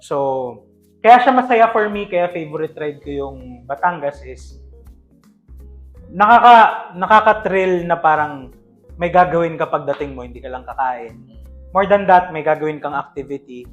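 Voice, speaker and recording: Man, Filipino accent, high pitch, casual podcast storytelling, English mixed in, background music. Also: normal speed, pauses often, muffled recording. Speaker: podcast co-host.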